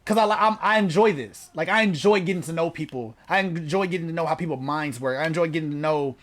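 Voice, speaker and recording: A man's voice: fairly high pitch, fast, a bit bright. A man in his late twenties hosting a casual interview, talking loosely and profanely, joking at himself. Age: 20s. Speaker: host.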